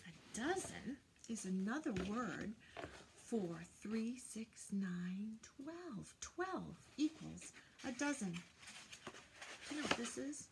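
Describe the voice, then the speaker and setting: An older woman reads and teaches for small children in a high, very animated voice. She takes her time, sing-songs her counting and keeps asking the listeners questions. It is recorded in a room with faint background noise. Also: slow, vocal fry. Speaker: read-aloud teacher for young children. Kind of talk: children's read-aloud and teaching. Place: small indoor room lined with bookshelves.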